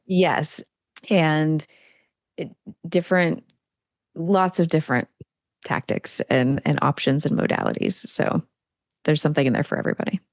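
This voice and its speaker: A woman with a low, gravelly voice speaks as a podcast guest. She answers eagerly and often lays ideas out in lists. The recording is dry and close. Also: fairly even intonation, normal speed, pauses often, dry recording. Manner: eager, affirming, explains in lists. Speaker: guest author and coach. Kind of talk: casual interview chat.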